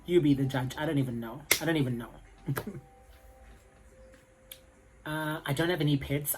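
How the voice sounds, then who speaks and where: A man's voice: mid-range voice, a little hiss or hum, low-quality recording. A young man with a South African accent, chatting casually to camera in a rambling way full of fillers. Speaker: vlogger.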